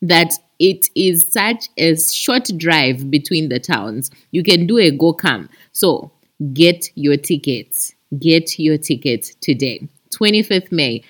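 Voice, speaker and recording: A woman's voice: sits low, expressive, takes it slowly. A woman with a Zambian accent hosting a chatty podcast, talking expressively and addressing her listeners like friends. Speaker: podcast host. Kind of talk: chatty podcast monologue with announcements.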